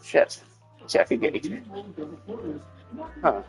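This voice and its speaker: A man streaming a game live, talking casually and haltingly as he works through numbers to himself. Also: soft background music, rough recording. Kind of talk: casual gaming stream commentary, thinking aloud.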